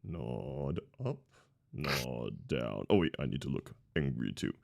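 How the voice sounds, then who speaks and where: Man playing a huge simple-minded barbarian, very deep voice, swooping intonation, earnest, good-natured, tabletop fantasy game. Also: lively intonation, gravelly, heavily acted. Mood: earnest concentration. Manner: slow-witted, earnest, simple phrasing. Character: dim, good-natured male Goliath barbarian with an axe.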